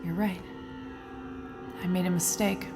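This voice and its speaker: A woman's voice: fairly low pitch, steady tone, measured pace, music in the background. An actress playing a trapped, despairing woman scientist on a space mission, weary and bitter, in an audio drama. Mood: bitter, resigned. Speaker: actor in a musical audio drama.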